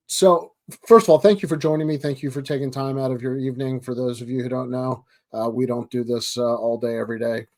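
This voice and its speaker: A man's voice: mid-range voice, fairly even intonation, fairly quick, few pauses, darkish tone. A chatty man in his thirties with a Baltimore accent, hosting from his home office over a video call. He jokes easily and trips over his words, repeating himself as he goes. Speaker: host. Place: home office with framed pictures. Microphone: webcam video call.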